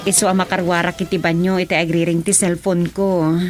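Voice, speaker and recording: A woman's voice: on the low side, level tone, brisk, loud music behind the voice, lightly acted. A woman with an Ilocano accent playing a composed lady in a radio drama.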